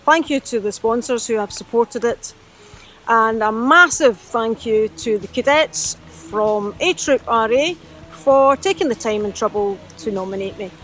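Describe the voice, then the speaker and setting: A woman volunteer giving an excited, chatty thank-you message after winning an award. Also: a little high, measured pace. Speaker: award winner, cadet volunteer.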